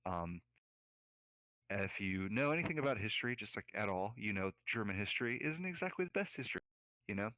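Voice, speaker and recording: Man, very low voice, rambling casual podcast talk, dry close sound. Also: animated, brisk, sharp tone, no echo, rough recording. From United States. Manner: rambling, lots of fillers. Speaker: podcast co-host.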